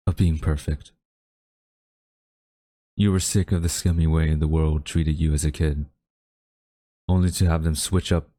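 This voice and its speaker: A man's voice: rumbling low, warm tone, no echo, heavily acted. A man acting a haughty government official with a secretly tender side, speaking formally and theatrically in a dramatic audio roleplay. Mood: somber. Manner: formal, theatrical, deliberate wording. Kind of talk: dramatic audio roleplay monologue addressed to listener.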